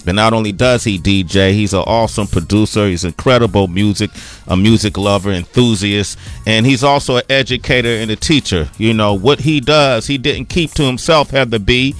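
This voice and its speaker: Smooth-voiced male radio host. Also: lowish voice, moderate pace, faint music behind. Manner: earnest, heartfelt praise. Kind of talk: on-air guest introduction.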